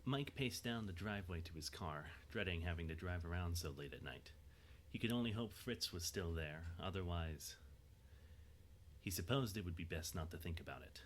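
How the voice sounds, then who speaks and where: Low-pitched male story narrator. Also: expressive, normal speed, slight background noise.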